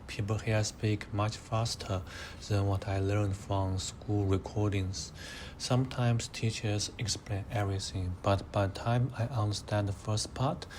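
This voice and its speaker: A young man of eighteen with a Mandarin Chinese accent, his voice sitting a little low. He answers in a measured, hesitant way, pausing on fillers. Faint background noise runs under the recording. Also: a little mellow. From China. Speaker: interviewee, high school student. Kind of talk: careful answering in interview.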